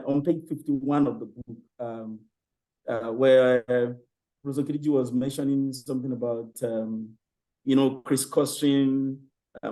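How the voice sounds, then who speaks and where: Middle-aged man, hesitant academic questioner, filler-heavy delivery, mid pitch. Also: a little mellow, low-quality recording. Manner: hesitant, many fillers and restarts. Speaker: panel questioner. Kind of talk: academic Q&A with a personal story.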